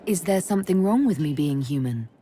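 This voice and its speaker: A woman's voice: deep voice, expressive, fairly quick, mellow, husky. Sincere noblewoman leader. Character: noble human woman leader, earnest.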